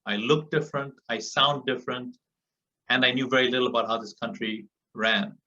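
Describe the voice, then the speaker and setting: A man from Africa delivers an earnest, rallying speech to listeners on a group call. His voice is bright and forceful, and he stacks repeated phrases for emphasis. The call audio sounds dull and muffled, with him a little distant from the microphone. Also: medium pitch, steady tone, moderate pace. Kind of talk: motivational speech.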